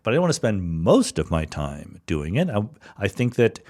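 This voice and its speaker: Middle-aged guy with a lowish voice, chatting through listener questions, lots of uhs and restarts. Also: very animated, normal speed, darkish tone. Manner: thoughtful, conversational, frequent fillers and restarts. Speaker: podcast host answering listener questions. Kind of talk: casual solo monologue.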